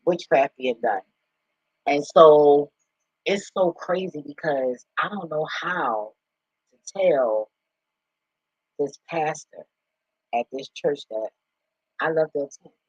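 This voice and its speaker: A man with a husky voice talking casually and off the cuff, blunt and profane with streetwise slang, like an online spiritual commentator. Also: slow, long pauses. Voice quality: husky, deep voice. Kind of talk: casual, unscripted rambling talk. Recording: roomy, dull lo-fi home recording.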